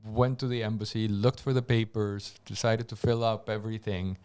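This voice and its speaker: A man's voice: on the low side, flat delivery, moderate pace, a little mellow, clean tone. A man from Colombia, a guest engineer, telling his story on a casual radio talk show with many restarts and repeated words.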